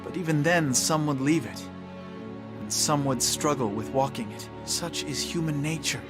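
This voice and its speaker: Young idealist king, a man acting: lightly high male voice, formal, composed, game dialogue with music underneath. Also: natural voice. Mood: solemn, reflective. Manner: formal, measured, earnest. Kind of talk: English video game voice acting.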